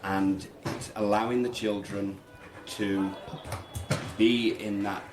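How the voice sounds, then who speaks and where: A man's voice: on the low side, flat delivery, takes it slowly, over music. A man who works as a primary school teacher, explaining things earnestly and conversationally in a radio interview. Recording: roomy echo, people chattering behind.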